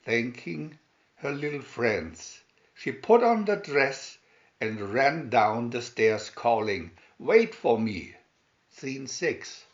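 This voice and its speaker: Animated, unhurried male storybook narrator. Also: mid-range voice, highs cut off. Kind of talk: dramatic read-aloud fairy-tale narration.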